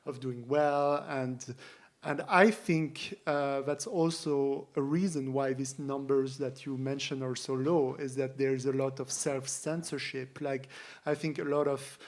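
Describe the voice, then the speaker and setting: A man from France, a guest speaking reflectively in a panel discussion, with halting starts. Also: medium pitch, fairly even intonation. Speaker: podcast guest.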